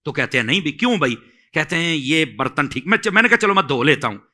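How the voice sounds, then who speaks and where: Very bright, animated Pakistani man admonishing. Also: on the high side, quick pace. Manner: emphatic, admonishing, with rhetorical questions. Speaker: Islamic scholar answering questions. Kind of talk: religious advice in conversation.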